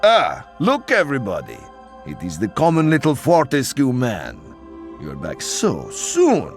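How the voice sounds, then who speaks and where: Boastful game archer: theatrical French accent, snooty, very animated, music behind. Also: male voice, medium pitch, a little slow. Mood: condescending, boastful. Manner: pompous, flamboyant, condescending.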